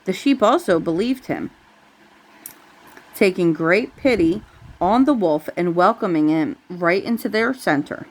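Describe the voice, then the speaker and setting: A woman's voice: on the low side, lively intonation, a little slow, poor audio. A woman reading a bedtime story aloud to a child, with casual asides along the way.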